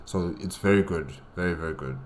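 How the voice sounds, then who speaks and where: A man's voice: low voice, brisk, slight background noise. A man giving casual solo reaction commentary, musing aloud and repeating phrases with plenty of "you know". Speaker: reaction video host.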